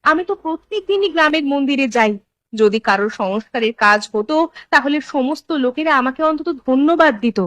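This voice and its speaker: Woman as a firm, reproachful village wife, highish voice. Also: animated, a little fast, a bit bright, no echo. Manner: firm, admonishing. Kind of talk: Bengali cartoon voice acting.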